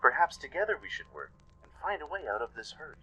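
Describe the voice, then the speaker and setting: Man as a serene male zebra, formal rhyming speech, husky, conciliatory, radio drama. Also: on the high side, big pitch swings, a little fast, sharp tone, faint music behind, pitched above the performer's own voice, exaggerated voice, rough recording. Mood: calm. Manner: formal, speaks in rhyme, conciliatory. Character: pink-striped male zebra, calm rhyming peacemaker.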